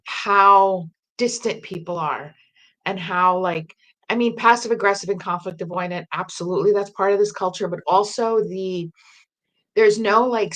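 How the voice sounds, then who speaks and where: A woman raised in New Jersey, talking in an animated, emphatic way in casual conversation. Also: mid-range voice, poor audio.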